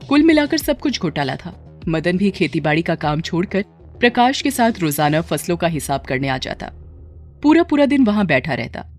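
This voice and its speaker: A woman's voice: on the low side, very animated, normal speed, a little mellow, soft background music. A woman narrating a scripted moral story as its storyteller.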